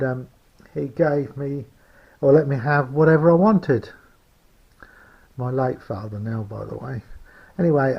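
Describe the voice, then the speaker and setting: A man informally presenting a hands-on demonstration of his homemade radio build, explaining it in a hesitant, halting way. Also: mid-range voice, slightly rough, highs cut off.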